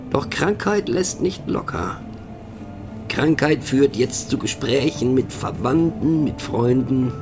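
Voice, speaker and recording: An actor playing a wry, brooding divorced man who philosophizes aloud in a radio play, with a pensive, mocking, deliberate manner. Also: fairly low pitch, gravelly, full character voice. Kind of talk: radio play monologue, musing aloud to a listener.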